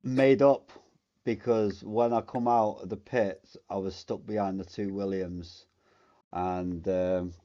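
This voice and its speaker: Male sim racer, very low and dark voice, relaxed and plain, lo-fi voice chat. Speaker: race winner interviewed. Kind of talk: casual post-race interview.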